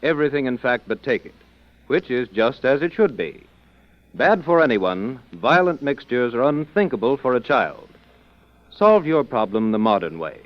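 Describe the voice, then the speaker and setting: An American man reading scripted announcements and sponsor copy in the style of old-time radio. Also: medium pitch. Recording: dull old radio broadcast, music behind.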